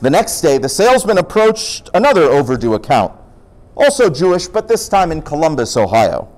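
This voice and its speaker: A man presenting folk tales in an animated, explanatory storytelling style. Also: a little high, normal speed. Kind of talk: storytelling talk with acted dialogue.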